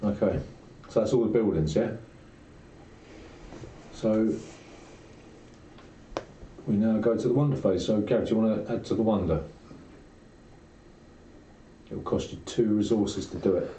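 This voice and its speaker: Deep, very mellow man explaining game rules. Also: measured pace, pauses often. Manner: instructive, checks with tag questions. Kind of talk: casual tabletop game talk. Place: indoor room around a game table. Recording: roomy, muffled, distant mic, hissy noise.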